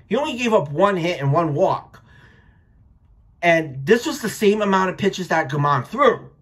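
A man's voice: on the high side, fairly bright tone. An American man in his thirties giving casual, blunt, opinionated sports commentary with plenty of swearing, recorded at home on a webcam. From Rhode Island, United States. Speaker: sports vlogger, baseball fan. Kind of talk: casual post-game reaction commentary. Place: small furnished room at home.

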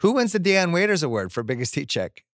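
A man's voice: fairly high pitch, sing-song, quick pace, fairly bright tone, no room sound. A middle-aged man hosting a casual movie podcast, speaking close to a studio mic. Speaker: podcast host. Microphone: broadcast mic on a boom arm, close.

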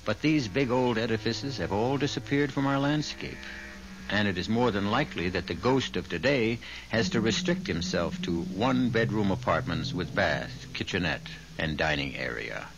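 An actor playing a wry, ominous host of a radio mystery show, speaking in a theatrical, measured way. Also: male voice, on the low side, lively intonation. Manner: theatrical, wry, measured delivery with drawn-out phrases. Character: wry, ominous male host of ghost tales. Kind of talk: dramatic 1970s radio narration. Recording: muffled, hissy old radio broadcast.

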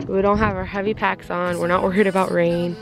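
A woman's voice: mid-range voice, flat delivery, fairly quick, gravelly. A young woman talks casually into a handheld camera while camping outdoors. She is chatty and laughs easily. Faint music plays underneath. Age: young adult. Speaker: vlogger. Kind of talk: casual vlog chat.

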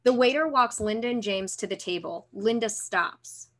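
A woman's voice: mid-range voice, normal speed, fairly bright tone. A woman in her thirties reading screenplay stage directions as scripted narration over a video call. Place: room at home, indoors. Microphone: computer mic on video call.

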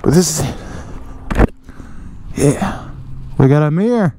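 A man's voice: a little high, lively intonation, takes it slowly. A young man talking casually to the camera while riding a motorcycle, laid-back and jokey. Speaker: motovlogger. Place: outdoors on a motorcycle in traffic. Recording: loud wind and engine noise. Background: loud engine and road noise.

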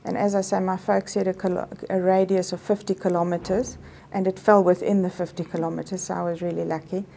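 Measured South African woman with a gravelly, mellow voice. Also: lowish voice, flat delivery, slight background noise. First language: Afrikaans. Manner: thoughtful, measured, explanatory. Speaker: medical professor. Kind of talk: interview answers. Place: office interview set, indoors.

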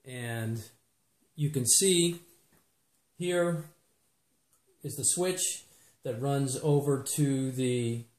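A man's voice: mid-range voice, darkish tone. A man giving plain, methodical how-to narration, step by step, as a DIY project presenter. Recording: echoey room.

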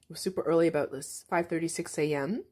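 A woman's voice: low-pitched, steady tone, fast talker, a little mellow. A woman in her forties, an author, chatting casually through a home cooking video with frequent ums. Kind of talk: casual cooking vlog narration. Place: home kitchen.

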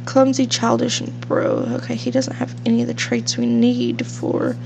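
A woman's voice: fairly high pitch, big pitch swings, normal speed, vocal fry. A young woman with a sniffly cold, casually rambling through let's-play commentary at home. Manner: chatty, rambling with fillers. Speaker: gaming channel host. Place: home room with a fish tank. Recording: faint hum from fish tank.

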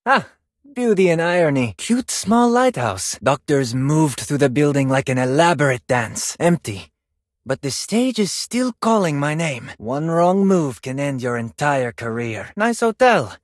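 A man's voice: fairly high pitch, sing-song, measured pace, no room sound, studio quality. A man voicing a vain, graceful Spanish male ballet dancer for a video game, theatrical and self-admiring, with a Spanish accent. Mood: musing, elegant. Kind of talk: video game character voice lines.

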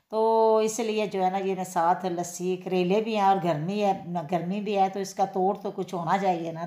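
A woman's voice: mid-range voice, darkish tone. Chatty woman vlogging in an echoey kitchen. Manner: chatty, repeats filler phrases, addresses viewers directly. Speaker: cooking and daily-life vlogger. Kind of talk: casual home vlog narration. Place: home kitchen, then outdoor street fair. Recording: echoey room sound.

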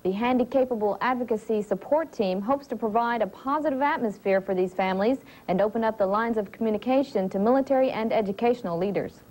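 A young American woman anchors a military TV newscast. Her voice sits on the high side, and she reads in a formal, measured manner with careful articulation, close on a studio lapel mic. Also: a little mellow, poor audio. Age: young adult. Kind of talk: scripted television newscast.

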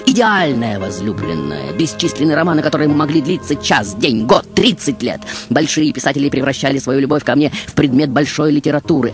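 A man playing a glamorous, vain, seductive female film star in a theatrical radio monologue, coquettish and full of dramatic pauses. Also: mid-range voice, lightly acted. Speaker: radio show host. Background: music behind the voice.

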